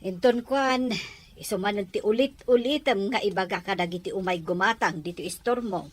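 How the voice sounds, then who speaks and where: A woman acting a frank village neighbour in an Ilocano audio drama. Her voice is medium in pitch, with an Ilocano accent and plain, everyday delivery. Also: normal speed, natural voice. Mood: matter-of-fact. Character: matter-of-fact village woman discussing neighbours' troubles.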